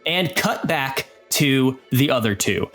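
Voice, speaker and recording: A man acting as game master, narrating an improvised story with deliberate pauses as he sets the scene. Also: mid-range voice, lively intonation, a bit bright, soft background music.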